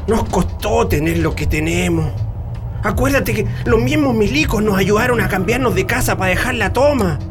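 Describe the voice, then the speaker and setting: A man plays a worried Chilean working-class father in a radio drama. His voice sits high for a man and pleads insistently, with loud music playing underneath. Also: animated, moderate pace. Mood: anxious, admonishing.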